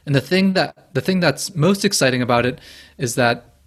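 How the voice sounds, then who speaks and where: A man speaking as a guest in a casual podcast interview over a video call, measured and thoughtful, with a fairly even voice. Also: medium pitch. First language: Japanese alongside English.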